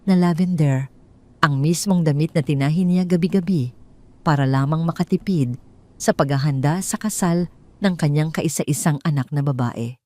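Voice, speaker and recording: A woman's voice: on the low side, dark tone. A woman with a Filipino accent reading a scripted dramatic story as its narrator.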